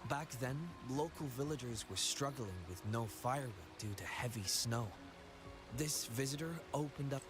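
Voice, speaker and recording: A man's voice: mid-range voice, normal speed, music in the background, lightly acted. Brief: talkative young male villager, friendly storyteller, game NPC, played by a man. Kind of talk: game voice acting.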